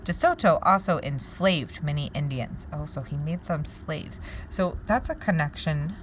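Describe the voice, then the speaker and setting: A woman teacher doing a read-aloud lesson, pausing to wonder aloud and explain words to her pupils. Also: low voice, animated, slight background noise, poor audio.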